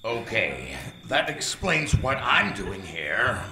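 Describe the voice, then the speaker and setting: A man's voice: fairly low pitch, big pitch swings, slow, bright, forceful tone, exaggerated voice. A man playing a pompous, indignant research scientist in a comic radio play, with wordy, theatrical delivery, recorded live on a stage. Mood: mollified, scheming. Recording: echoey live theater stage, faint noise.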